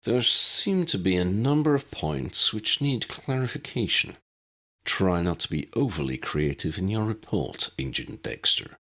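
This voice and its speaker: A man's voice: low voice, pushed and bright, heavily acted. A man voicing a stern, weary warlock director in an audiobook, formal and dry with wry sarcasm. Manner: formal, dry, measured, sardonic.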